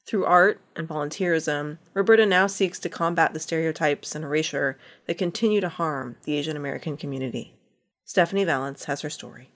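Low-voiced woman hosting a podcast. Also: fairly quick. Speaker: podcast host. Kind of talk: scripted radio introduction.

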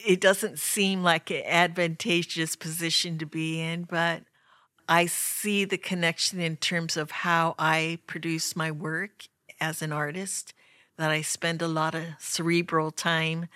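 A woman artist talking reflectively about her work in an interview. She speaks thoughtfully and pauses often. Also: deep voice, fairly bright tone, no echo, clean studio sound. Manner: slow, thoughtful, pausing often.

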